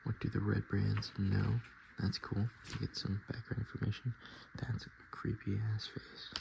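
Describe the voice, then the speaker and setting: A man doing softspoken, whispered ASMR, reading and commenting informally in a breathy, unhurried way and correcting himself as he goes. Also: level tone, noisy background. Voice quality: breathy whisper mixed with low, creaky soft speech. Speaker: ASMR host browsing a tabletop game book.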